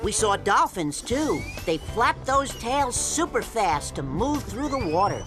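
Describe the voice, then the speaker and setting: A slow, musing cartoon critter. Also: female voice, mid-range voice, big pitch swings. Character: playful, snack-loving young animal friend. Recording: cartoon voice with music behind.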